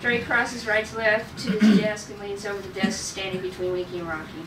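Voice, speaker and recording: A woman's voice: mid-range voice, flat delivery, a little fast. A woman narrating stage directions from a script at a table read. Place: room at a group table read. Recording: echoey noisy room, far from mic.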